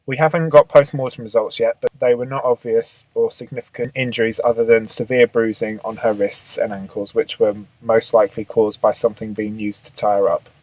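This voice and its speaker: A man's voice: lowish voice, level tone, darkish tone, dry recording, lightly acted, low-quality recording. Man acting a businesslike police boss, dry sound. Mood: matter-of-fact. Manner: measured, matter-of-fact, authoritative. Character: businesslike male police boss briefing his detectives. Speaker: actor in a radio drama. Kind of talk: amateur radio drama acting.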